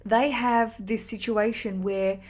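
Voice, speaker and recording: A woman's voice: fairly high pitch, steady tone, slow pace, a little mellow. A woman hosting a podcast, chatting casually and effusively to listeners in a cheeky, sweary, rambling way. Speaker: podcast host.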